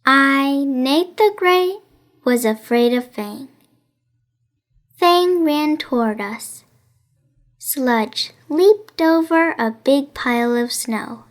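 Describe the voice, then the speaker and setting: A woman reading a children's story in expressive, careful, deliberate narration. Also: sits high. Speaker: children's audiobook reader.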